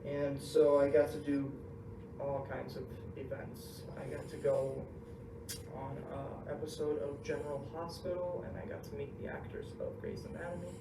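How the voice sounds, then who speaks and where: A man's voice: mid-range voice, flat delivery, normal speed, vocal fry. A young man from Russia, with no accent, giving a casual, joking talk to a student group, full of ums and uhs. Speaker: inspirational speaker. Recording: echoey hall recorded from far off with noise.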